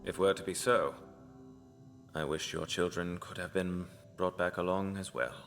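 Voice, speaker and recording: An actor playing an apologetic, macabre cult tailor who stitches corpses, speaking haltingly in an audio drama. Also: male voice, sits low, fairly even intonation, a bit bright, faint music behind. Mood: grim, regretful.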